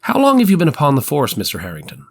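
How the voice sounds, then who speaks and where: A man's voice: a little high, huge pitch swings, fast talker, exaggerated voice. A man reading the part of a methodical prosecutor questioning witnesses in a dramatized 1890s trial. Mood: formal, methodical.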